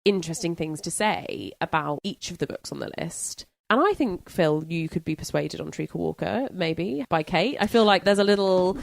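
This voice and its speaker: A woman podcast panelist with a slightly low voice in a dry, close recording. She chats casually and conversationally about books. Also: moderate pace, vocal fry, hardly any pauses, no room sound. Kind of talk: casual book discussion.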